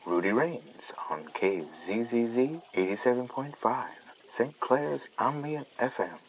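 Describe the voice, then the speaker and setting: Guy acting soothing night radio dj man, hushed and breathy, creek noise behind. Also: mid-range voice, animated, unhurried, poor audio. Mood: soothing, dreamy. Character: soothing male late-night ambient radio host. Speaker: radio host. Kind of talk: soft relaxation radio narration. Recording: nature ambience behind the voice. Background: running stream.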